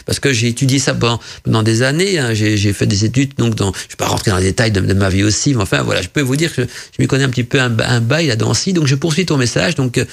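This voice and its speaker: A man's voice: mid-range voice, fast, gravelly. A male radio host who is not a native French speaker, reading listeners' messages and interpreting their dreams in a rambling, casual way full of fillers and restarts.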